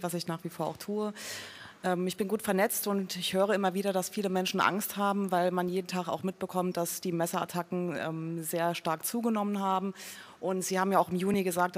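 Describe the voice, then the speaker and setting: Flat-voiced woman pressing a question. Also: lowish voice. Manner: earnest and pressing, with hesitations. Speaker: audience member asking a question. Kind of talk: public question at a town hall.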